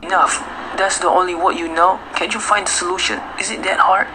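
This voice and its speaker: A man's voice: sits high, steady tone, brisk, close to an everyday voice. Man acting an irritable husband, noisy recording. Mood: irritated. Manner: scolding, impatient. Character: irritable, desperate husband with a harsh temper. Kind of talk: amateur radio drama acting. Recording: noisy home-made recording.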